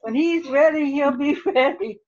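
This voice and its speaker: Woman parent, hesitant and hopeful, higher voice, muffled sound. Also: unhurried, a little mellow, a little hiss or hum. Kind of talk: group meeting talk.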